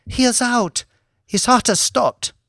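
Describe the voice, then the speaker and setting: A man's voice: slow pace, vocal fry, no room sound, clean studio sound, heavily acted. A man voicing a panicked woman, a maid, calling out urgently in a raised voice. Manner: high, urgent shouting.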